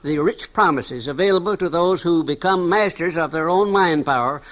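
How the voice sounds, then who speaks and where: A man's voice: a little high, normal speed. A man giving a formal, emphatic reading of a scripted text.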